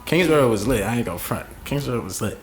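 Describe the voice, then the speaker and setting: A young man with a New York accent, a podcast guest telling stories in a relaxed, slangy way. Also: medium pitch, fast talker, loud music behind the voice. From Staten Island, New York. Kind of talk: casual interview chat.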